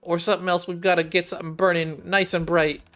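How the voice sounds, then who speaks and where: A man's voice: high voice, level tone, quick pace, fairly bright tone. A man voicing an earnest, plucky young female android survival expert, eager and determined, in a game read-along. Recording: game music behind the voice.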